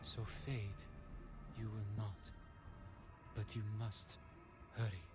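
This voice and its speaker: A fading spirit from a video game, solemn and weary. He speaks very slowly in halting, portentous phrases, with urgency under them. Clear music plays behind him. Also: lowish voice, little pitch movement. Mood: solemn, urgent. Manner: slow, halting, portentous delivery. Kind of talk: dramatic game voice acting.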